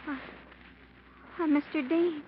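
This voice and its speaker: An actress playing a timid, flustered, deferential maid in an old-time radio mystery. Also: female voice, high voice, steady tone, takes it slowly. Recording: muffled old radio recording, faint noise.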